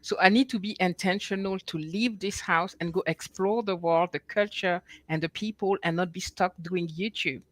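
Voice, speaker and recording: A woman's voice: fairly low pitch, normal speed, hardly any pauses, dry recording. A woman who travels while creating content, chatting casually on an online panel, self-deprecating and laughing easily. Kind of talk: casual online roundtable chat.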